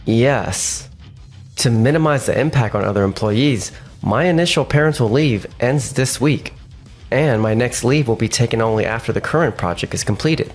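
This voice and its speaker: A man's voice: mid-range voice, normal speed, soft background music, lightly acted. A man playing a polite, conscientious young father who works in an office, speaking earnestly in a manga dub. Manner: courteous, earnest. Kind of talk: scripted manga dub acting.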